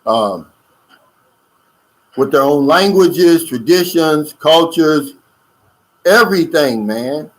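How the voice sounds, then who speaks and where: Middle-aged male channel host, loose chatty livestream delivery, pitch a touch high. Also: lively intonation, slow pace, long pauses, low-quality recording. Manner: loose, chatty, drawling with fillers and asides. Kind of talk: casual livestream chat reading viewer comments. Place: lives in the Philippines.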